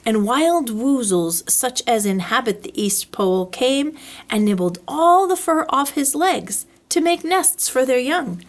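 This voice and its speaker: A woman's voice: sits high, expressive, measured pace. A woman reading a children's story aloud as a storyteller, expressively, drawing out words for effect. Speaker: library storyteller.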